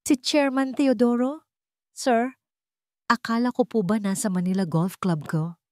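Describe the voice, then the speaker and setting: An arrogant office man acted by a woman narrator cowers before his superior in a dramatic story. His voice is pushed higher, flustered and pleading, with a dry sound. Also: fairly even intonation, measured pace, darkish tone, dry recording, natural voice. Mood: flustered, fearful.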